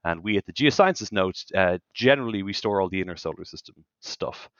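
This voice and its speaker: A man's voice: deep voice, lively intonation, fairly quick, a bit bright, smooth, no room sound. A man in his forties with an Irish accent, a professor chatting as a guest over a remote call, wry and joking about himself. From Ireland. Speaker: planetary science professor, guest. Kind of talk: casual interview chat. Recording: dull dry call audio.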